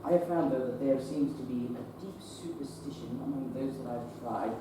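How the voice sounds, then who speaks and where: A young woman acting a polite, anxious Victorian male doctor in a stage play, halting and full of fillers. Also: a bass voice. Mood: hesitant. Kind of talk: teen stage play performance. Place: theatre stage. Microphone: distant room mic. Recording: echoey stage recording from far off, noisy.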